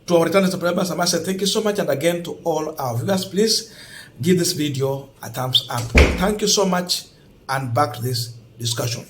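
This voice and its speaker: High-pitched Kenyan man, emphatic political commentary. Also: fairly bright tone, slight background noise. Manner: emphatic, deliberate, repeats points for stress. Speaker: host of an online political commentary channel. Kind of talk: political news commentary to camera.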